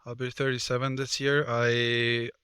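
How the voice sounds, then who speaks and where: Thirties male guest from Switzerland, halting casual talk, bright and monotone in a dry room. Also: mid-range voice, clean tone, no room sound. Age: 30s. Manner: hesitant, many fillers and restarts. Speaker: guest, personal trainer and card game player.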